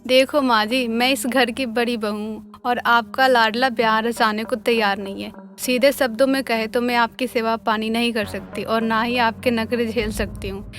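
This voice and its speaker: A woman voicing a defiant, sharp-tongued young daughter-in-law in a cartoon family drama, arguing and demanding. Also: fairly high pitch, steady tone, normal speed, hardly any pauses, faint music behind, natural voice. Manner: argumentative, demanding.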